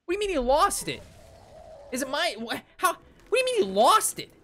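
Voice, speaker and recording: A man's voice: very high-pitched, very animated, a little fast, sharp tone. A young man telling ranting, casual stories to his stream chat, swearing freely and repeating himself with exasperation. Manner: profane, exasperated, repeats phrases. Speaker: game streamer. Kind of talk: casual ranting storytelling to stream chat during card game.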